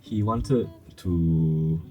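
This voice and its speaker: A man's voice: low-pitched, very slow, music in the background. A young Singaporean man with a Singaporean English accent, a guest telling customer stories in a casual talk show chat. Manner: storytelling, recounting customer anecdotes at length. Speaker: guest, audio brand founder.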